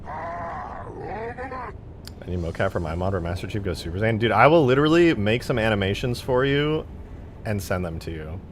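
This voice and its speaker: A man's voice: fairly low pitch, sing-song, fast, a little hiss or hum. A man livestreaming in a casual, slangy way, reading out viewer comments and talking with self-deprecating bluntness and some swearing. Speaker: live streamer.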